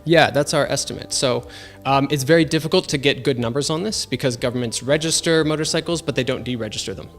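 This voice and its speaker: A man's voice: mid-range voice, fast, hardly any pauses, soft background music. A man in his thirties, raised in Tanzania, eagerly explaining his research as an interview guest, with many fillers. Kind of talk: interview answers.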